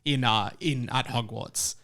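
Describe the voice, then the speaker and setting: A man's voice: mid-range voice, a little slow, pushed and bright. A man hosting a comedy podcast in a casual, chatty style. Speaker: podcast host.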